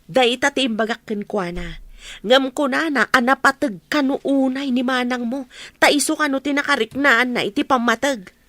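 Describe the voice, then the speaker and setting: A woman's voice: high voice, moderate pace, no room sound. A woman with an Ilocano accent playing a jealous, domineering middle-aged aunt in a radio drama, nagging and scolding. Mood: resentful. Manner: sharp, nagging.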